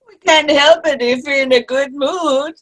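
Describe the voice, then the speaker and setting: A woman's voice: high-pitched, unhurried, fairly bright tone. A woman playing a cheerful, teasing grandmother among old friends in an amateur comedy play reading. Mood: amused. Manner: good-humored, teasing. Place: online video-call play reading.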